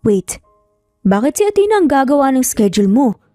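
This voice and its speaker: A woman's voice: sits high, sing-song, normal speed, no room sound, studio quality. A woman with a Filipino accent voicing a teasing, easygoing man, a friend in a narrated story. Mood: puzzled. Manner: amused, meaningful teasing. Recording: soft music under the voice.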